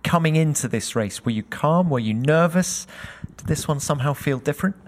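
A man's voice: a little high, animated, brisk. A man asking questions as interviewer in a post-race sports interview.

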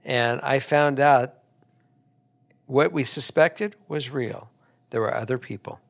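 A man's voice: mid-range voice, vocal fry. A man co-hosting an advice podcast, talking casually and bluntly, often repeating words as he starts a thought.

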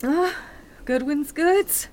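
A woman's voice: high voice, extremely slow, darkish tone, a little hiss or hum. A woman playing a skeptical, polite and bemused city dweller in a radio play, with a hesitant, incredulous manner. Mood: puzzled, intrigued. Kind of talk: naturalistic radio play acting.

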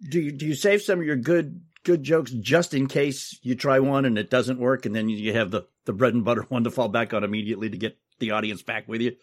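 A man's voice: mid-range voice, steady tone, a little fast, hardly any pauses. A man co-hosting an interview, asking questions and laughing along. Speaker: podcast co-host. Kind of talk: casual interview chat.

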